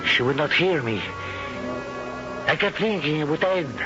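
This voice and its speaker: An actor playing a brooding older nobleman, speaking slowly and with passion in a 1970s radio drama. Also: on the high side, expressive. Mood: confessional. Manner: impassioned, slow and heavy. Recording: dull old radio broadcast with music.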